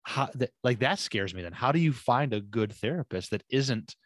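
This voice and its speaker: A man's voice: medium pitch, lively intonation, moderate pace, no echo, studio quality. A man co-hosting a podcast who rambles and thinks aloud with many restarts. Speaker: podcast co-host. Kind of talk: casual conversational chat.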